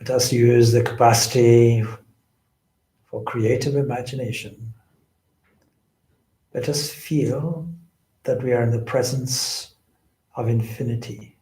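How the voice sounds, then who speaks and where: A man gives guided spiritual instruction at a very slow pace. His phrasing is deliberate and measured, broken by pauses. His mid-pitched voice echoes in the room. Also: fairly even intonation, warm tone. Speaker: meditation teacher, swami.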